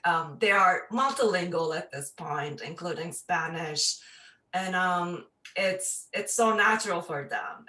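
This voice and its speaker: A woman's voice: low-pitched, sharp tone. A middle-aged woman, a parent and researcher speaking thoughtfully on a video call, with many fillers and restarts. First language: Turkish. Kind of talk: casual panel remarks. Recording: echoey room, distant mic.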